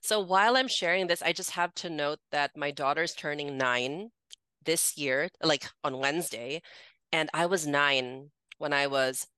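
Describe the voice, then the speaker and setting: Bright, clear-voiced woman in her forties. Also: low voice, unhurried, no room sound. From Philippines. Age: 40s. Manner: candid, chatty, many "like" fillers, laughs easily. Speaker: podcast guest. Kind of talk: casual interview, telling her life story.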